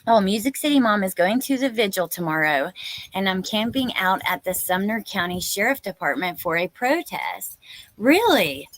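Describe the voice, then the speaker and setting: A woman's voice: medium pitch, big pitch swings, moderate pace, a bit bright, rough recording. A woman in her thirties hosting a live stream, chatting informally and exclamatorily with viewers and reading out their comments.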